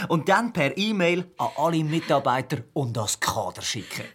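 A cocky young IT student. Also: male voice, a little high, big pitch swings, normal speed, a bit bright, husky, heavily acted. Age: young adult. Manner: slangy, cocky. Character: brash young male IT student, a mama's boy. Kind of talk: radio play acting.

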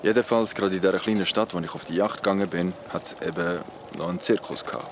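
A young storyteller with a deep, low voice. He is cagey and understated, talking casually in a radio play, with clear ambient noise behind him. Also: fast. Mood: storytelling. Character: cagey young male storyteller with a mysterious past. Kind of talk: audio drama acting, casual storytelling.

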